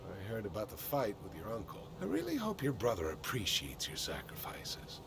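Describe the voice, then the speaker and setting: A man playing a fussy, awkward, prying acquaintance in a video game, halting and overly solicitous. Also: fairly low pitch, huge pitch swings, creaky, noisy background, heavily acted.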